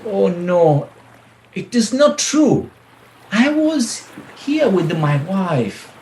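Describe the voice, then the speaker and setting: A man playing a melodramatic philandering husband in a radio play, pleading and lamenting haltingly. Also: high-pitched, big pitch swings, a little mellow, heavily acted. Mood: flustered, pleading. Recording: roomy, faint background noise.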